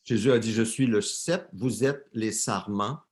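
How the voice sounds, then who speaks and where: A man's voice: lowish voice, measured pace, a little mellow. A man interpreting a sermon live for a church, often repeating and correcting words as he translates.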